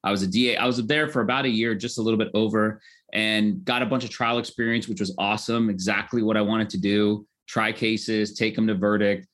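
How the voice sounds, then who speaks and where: A man's voice: on the low side, fairly even intonation, brisk, fairly bright tone. An American man from Southern California, a lawyer and tech executive, talking casually as an interview guest. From Southern California, United States.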